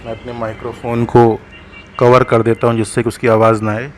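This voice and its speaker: An Indian man hosts a practical gear tutorial in a medium-pitched voice. He explains each step in turn and goes back over the key points. Clear ambient noise runs steadily behind his speech. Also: flat delivery, brisk. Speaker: host of a motorcycle gear tutorial. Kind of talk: hands-on product demonstration.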